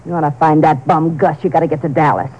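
An actress playing a defiant, quick-tempered woman crook in a 1950s radio crime drama, snapping out slangy tough talk. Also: deep voice, fairly even intonation, a little mellow, slightly rough, rough recording. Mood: bitter, resentful. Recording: old 1950s radio recording.

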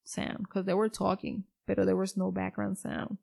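Teasing young woman with gravelly voice. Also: medium pitch, monotone, normal speed, a little mellow. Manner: switches between English and Spanish, teasing. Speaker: podcast co-host. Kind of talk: casual bilingual chat.

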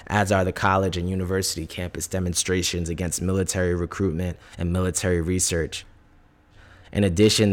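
A man reading a political essay from a script in a measured, formal delivery. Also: low voice, a little mellow.

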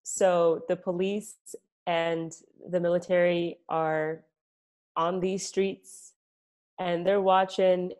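A woman's voice: fairly low pitch, level tone, takes it slowly, darkish tone. A woman in her late twenties, born in Egypt and raised in New York, talking candidly and reflectively as an interview guest, with many "like" fillers. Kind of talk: casual conversation.

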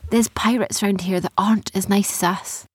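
A woman voicing a fierce but warm-hearted female pirate leader in a children's story, with colloquial turns of speech. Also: medium pitch, steady tone, moderate pace, breathy edge, dry recording, clean studio sound, natural voice. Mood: fierce, wary. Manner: colloquial, says yous and lassie.

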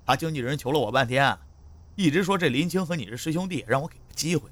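A man's voice: on the high side, animated, quick pace, fairly bright tone, no echo. A man playing a brash, bossy, joking film director in an audio drama, with blunt colloquial talk. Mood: grumbling. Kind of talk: Chinese audio drama acting.

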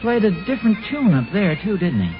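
A man's voice: high-pitched, huge pitch swings, fairly quick. An actor playing a flustered, easily spooked suburban father in a stammering, exasperated 1940s radio sitcom style. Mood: unconvinced. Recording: muffled old radio broadcast, music behind.

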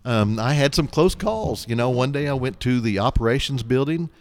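A man's voice: medium pitch, expressive, moderate pace. A middle-aged man telling anecdotes casually as a podcast guest, nonchalant and acting out bits of dialogue. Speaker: podcast guest, air traffic controller.

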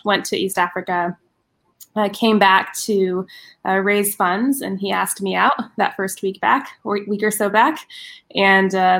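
A woman in her forties, a ministry director, talking earnestly in a casual interview, often pausing with fillers and restarting her sentences. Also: medium pitch, creaky, fairly bright tone. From United States.

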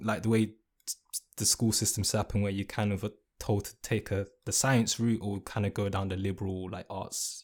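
A man's voice: lowish voice, a little mellow. A young man co-hosting a podcast, asking a long, rambling question full of fillers. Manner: rambling, hesitant, many fillers. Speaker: podcast co-host. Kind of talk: casual interview question.

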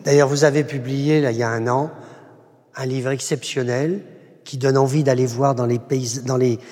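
A man interviewing an author, asking measured and probing questions. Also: medium pitch, fairly even intonation, a little mellow, big-room sound.

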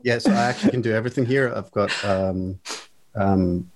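A man's voice: on the low side, a little fast. A man talking casually as a podcast guest, with a jokey, self-mocking, playful manner, recorded on a microphone in a home music studio. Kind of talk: casual chat. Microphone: studio microphone with headphones.

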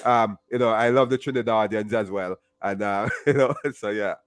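A man with a strong Jamaican accent interviewing a guest in a relaxed chat, laughing often and repeating himself. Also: on the low side, moderate pace, clear, resonant tone.

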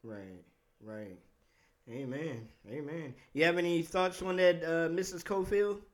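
Man hosting, slightly high voice. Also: unhurried. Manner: friendly, affirming with amens, hesitant ums. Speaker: interview host. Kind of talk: casual podcast interview.

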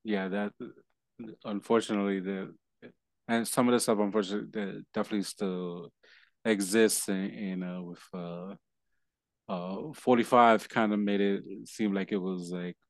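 A man hosting an interview, speaking in a halting way, with many restarts and repeated words. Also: lowish voice, fairly even intonation, no room sound. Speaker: interview host. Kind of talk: casual interview chat.

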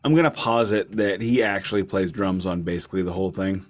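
A man co-hosting a podcast, casually discussing albums in a wry, deliberate way, repeating phrases for emphasis. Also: sits low. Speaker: podcast co-host reviewing albums.

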